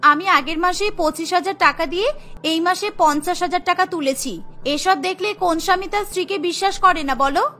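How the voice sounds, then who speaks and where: A woman's voice: very high voice, brisk, bright, forceful tone, soft background music. A woman voicing a sly, boastful young married woman in a cartoon, coaxing and self-assured. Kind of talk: Bengali cartoon voice acting.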